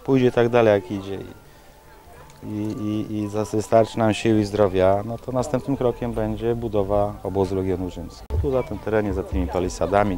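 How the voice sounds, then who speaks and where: Middle-aged builder, low pitch, speaks plainly outdoors into a reporter's mic. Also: male voice, mellow. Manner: plain, hands-on, repeats himself. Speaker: reenactment group builder. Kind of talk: outdoor news interview. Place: outdoors at an event site. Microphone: handheld reporter mic.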